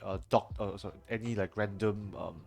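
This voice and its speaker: Young singaporean guy on a headset, lowish voice, lots of um and like, soft music in the back. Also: fairly even intonation, moderate pace, vocal fry. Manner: rambling, many fillers and restarts. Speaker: streamer. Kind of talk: casual chat answering viewer chat while editing. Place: home room, indoors.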